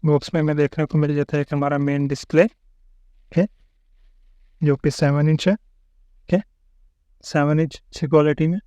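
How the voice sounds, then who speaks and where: A man's voice: fairly high pitch, level tone, normal speed, pauses often, mellow, clean tone, dry recording. A man narrating an unboxing and setup tutorial, explaining each part step by step and often checking in with the viewer. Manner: explains step by step, keeps repeating "ठीक है". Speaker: tech reviewer.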